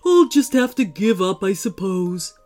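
Woman as a gentle male sea monster, slow, glum, higher pitch, faint music behind. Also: sing-song.

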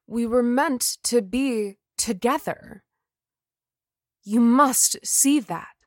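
A woman voicing an obsessive man's ghost who pleads softly and imploringly. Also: fairly high pitch, drawn-out words, no echo, clean studio sound, voice pushed up. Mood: pleading. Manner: soft, imploring.

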